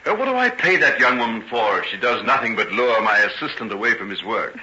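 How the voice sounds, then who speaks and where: An actor playing an ardent, tormented, wryly teasing doctor in an old-time radio drama, with theatrical, genteel diction. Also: male voice, medium pitch, big pitch swings, fairly quick, pushed and bright. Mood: brisk, wry. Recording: dull, faintly noisy vintage radio recording.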